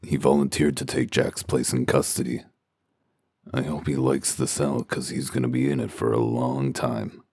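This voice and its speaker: A man voicing a gruff, sardonic werewolf detective in a comic dub, with a growling, commanding delivery. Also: flat delivery, normal speed, a little mellow, no echo, heavily acted. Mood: dry sarcasm. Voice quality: very deep, gravelly growl. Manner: dry, sarcastic, commanding.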